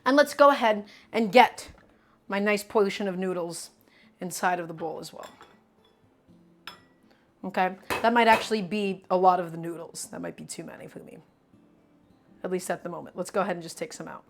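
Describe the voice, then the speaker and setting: A woman's voice: lowish voice, moderate pace, long pauses, slight background noise. A young woman hosting a live cooking stream. She talks to her viewers in a chatty, upbeat way, often calling them "guys" and hyping them up. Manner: upbeat, hypes up the audience.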